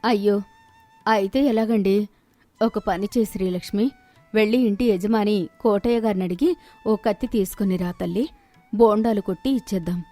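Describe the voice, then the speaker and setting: A woman acting a mellow, practical village mother. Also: mid-range voice, moderate pace, long pauses, soft background music, lightly acted.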